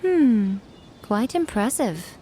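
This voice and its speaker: A woman's voice: a little high, sing-song, slow, noisy background. An actress playing an elegant, amused shrine lady in a video game, refined, languid and sly.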